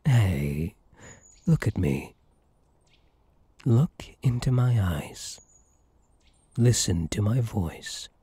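A man playing a possessive yet tender elf hunter in an intimate ASMR roleplay, speaking in a coaxing, soothing and intense way with a husky voice. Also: on the low side, very animated, extremely slow, long pauses, mellow, no echo, full character voice. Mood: adoring, coaxing. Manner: coaxing, soothing, intense, often dropping to a whisper.

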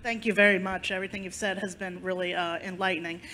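A woman's voice: lowish voice, level tone, fast talker, sharp tone, a little hiss or hum. An American woman in the audience politely asking a guest writer a question. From United States.

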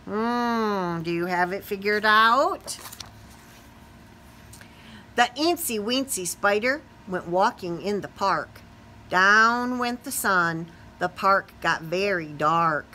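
A middle-aged woman reads a picture book to small children. Her voice is bright and very animated, with a sing-song lilt. There is faint noise behind her. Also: medium pitch, slow. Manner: animated, sing-song, asks questions of young listeners. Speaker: teacher reading aloud to young children. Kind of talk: expressive children's storybook read-aloud. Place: small classroom or office, indoors.

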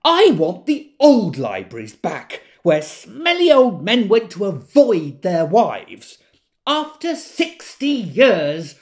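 An actor playing a wry British character in an audio drama, telling memories conversationally and quick to exasperation. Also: male voice, high voice, wildly swooping pitch, full character voice. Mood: exasperated outburst. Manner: conversational, acts out quoted speech. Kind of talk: intimate audio drama monologue.

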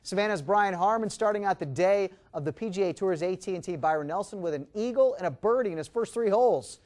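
High-pitched male sports anchor. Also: fast talker, darkish tone. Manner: punchy, emphatic announcer delivery. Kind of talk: scripted sports highlights narration. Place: TV news studio.

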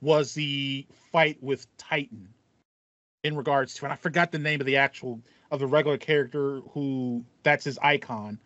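A man's voice: medium pitch, steady tone, normal speed, fairly bright tone, no echo. A man in his thirties chatting casually as a podcast co-host, rambling enthusiastically and repeating phrases, on slightly muffled call audio. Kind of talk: casual chat about video games. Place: small home room with acoustic foam. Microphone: headset, video call.

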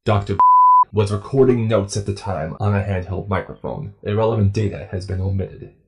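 Low, gravelly male case-file narrator. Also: moderate pace, warm tone, low-quality recording. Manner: formal, clinical. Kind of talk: scripted narration of clinical case files.

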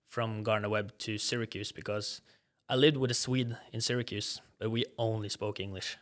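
A young man with a strong Norwegian accent talks in a slightly low voice, easygoing and casual. He fills his sentences with "like" and "yeah" as a podcast guest, speaking into a desk mic in an office studio. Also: moderate pace. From Norway. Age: young adult. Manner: relaxed, fills speech with "like" and "yeah". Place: glass-walled office studio. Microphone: desk podcast mic with headphones.

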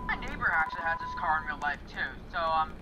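A man with a fairly high voice chats casually as a guest in a gaming group. His pitch swings widely, and clear car and game noise comes through the voice chat. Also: expressive, fast. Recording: noisy voice chat with vehicle sounds.

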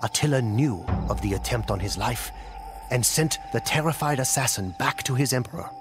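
A man reading a scripted historical tale as a storyteller, in a measured, solemn, dramatic way. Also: medium pitch, lively intonation. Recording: music under the voice. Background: music.

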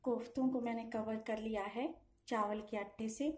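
A woman calmly talking through a home cooking recipe step by step, in a patient, instructive way. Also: fairly high pitch, moderate pace. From Himachal Pradesh, India. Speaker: home cooking channel host. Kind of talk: step-by-step cooking demonstration voice-over. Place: home kitchen.

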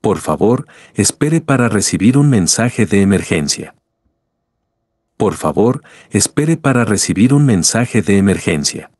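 A man reading a scripted government emergency message in a formal, measured manner. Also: lowish voice, very animated, darkish tone, no room sound, clean studio sound. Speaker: emergency alert announcer.

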